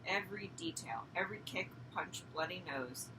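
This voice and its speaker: A hyper, cranky old uncle from a storybook, read by a woman, talking eagerly in a lowish voice. The recording is a little distant, with clear noise behind it. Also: expressive, normal speed, lightly acted. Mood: excited, eager. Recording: distant mic, clearly noisy background.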